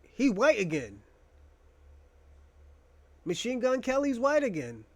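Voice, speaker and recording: Young man, high voice, crude rambling host, joking, dry close sound. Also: wildly swooping pitch, takes it slowly, no echo. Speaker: podcast host. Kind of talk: casual monologue.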